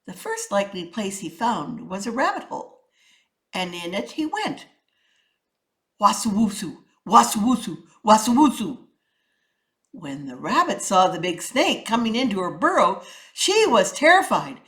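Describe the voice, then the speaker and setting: A woman's voice: medium pitch, sing-song, measured pace, a bit bright. A woman narrating a children's story as a storyteller, with a theatrical, emphatic delivery. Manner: theatrical, emphatic with rhythmic sound words.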